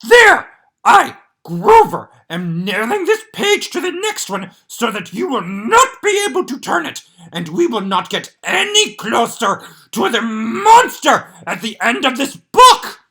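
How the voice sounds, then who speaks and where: A woman voicing a lovable furry male monster, shouting and pleading in a high, panicky voice. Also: very animated, unhurried, heavily acted. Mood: defiant.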